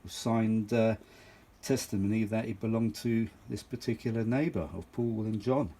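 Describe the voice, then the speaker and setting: A man's voice: fairly low pitch, fairly even intonation, normal speed, mellow. A middle-aged or older man, chatting unscripted as a vintage audio enthusiast showing a machine, rambling, exclaiming and repeating himself for emphasis. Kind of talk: casual unscripted show-and-tell chat.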